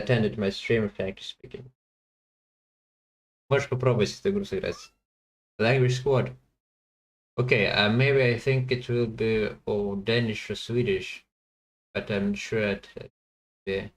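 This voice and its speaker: A man's voice: lowish voice, steady tone, rough recording. Hesitant man thinking aloud on stream. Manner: hesitant, halting, with many fillers and self-corrections; chats to viewers between guesses. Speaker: streamer playing a language-guessing game.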